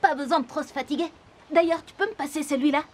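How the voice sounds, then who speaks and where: A woman's voice: sits high, fairly even intonation, quick pace, natural voice. A woman voicing a friendly, easygoing panda in a children's cartoon, explaining things simply. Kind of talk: children's cartoon dialogue in French.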